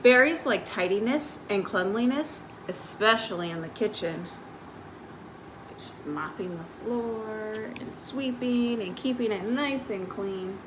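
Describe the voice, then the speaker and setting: A woman with a mid-pitched voice hosts a children's storytime on a live stream. She reads picture books aloud and adds simple, informal explanations for young listeners. Faint noise sits in the background. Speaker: librarian hosting a children's storytime. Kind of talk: picture-book read-aloud with informal asides.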